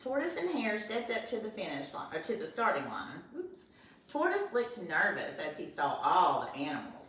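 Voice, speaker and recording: A woman teaching first grade, reading a storybook aloud in an expressive way and then explaining the lesson gently to young children. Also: fairly low pitch, moderate pace. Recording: roomy echo from a distance, faint noise.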